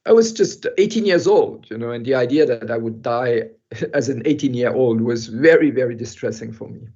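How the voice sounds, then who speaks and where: An older man who grew up in Europe tells his own story at an even middle pitch. He pauses, restarts, and laughs softly as he reflects. The sound is muffled and dull, as if heard over a video call. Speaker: guest speaker. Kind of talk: personal storytelling in an online talk.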